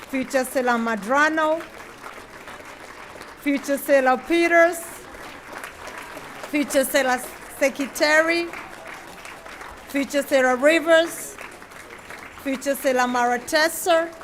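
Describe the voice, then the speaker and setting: A woman's voice: high voice, fairly bright tone, a little hiss or hum. A middle-aged woman from Kenya, a Navy chief speaking earnestly and gratefully at a ceremony, then calling out names. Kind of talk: ceremony speech.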